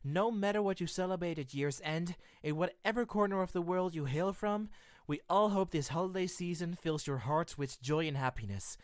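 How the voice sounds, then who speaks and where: A woman voicing a sweet, sincere young hero, earnest and expressive, reading a scripted holiday show message. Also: fairly low pitch, moderate pace, no room sound, clean studio sound, natural voice. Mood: heartfelt. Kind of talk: scripted show sign-off, audio drama.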